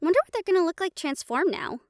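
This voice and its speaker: Woman doing a super high girly princess type, bubbly n perky, really lively pitch, fantasy roleplay. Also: fast talker, no echo, studio quality. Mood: caring, curious. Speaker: character in fantasy audio roleplay. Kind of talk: dramatic audio roleplay acting.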